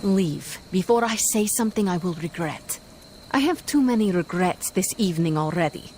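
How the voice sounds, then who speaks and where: Woman as a proud, bitter sorceress, animated pitch. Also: moderate pace, slight background noise, lightly acted. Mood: hurt, cold anger. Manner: curt, scornful, formal phrasing. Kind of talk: dramatic video game acting. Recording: faint music behind.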